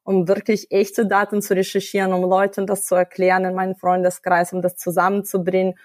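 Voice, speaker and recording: A woman's voice: mid-range voice, completely flat delivery, a little fast, a little mellow, no room sound. Woman, dry close sound. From Ukraine. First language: Russian. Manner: thoughtful, many fillers and restarts. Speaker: guest, data science expert. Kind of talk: podcast interview.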